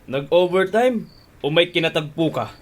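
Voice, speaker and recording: A man's voice: on the high side, expressive, moderate pace. A man playing a jealous, accusing husband who snaps and confronts in a radio drama. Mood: suspicious, menacing. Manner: confrontational, snapping. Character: jealous, accusing husband, menacing and quick to anger. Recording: loud dramatic music behind.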